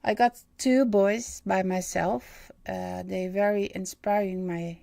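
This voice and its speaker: Halting Dutch woman in her fifties, gravelly. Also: mid-range voice, fairly even intonation, dark tone, no echo. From Netherlands. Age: 50s. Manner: halting, many ums and uhs, searching for English words. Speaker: livestream host and medium. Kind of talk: hesitant livestream monologue.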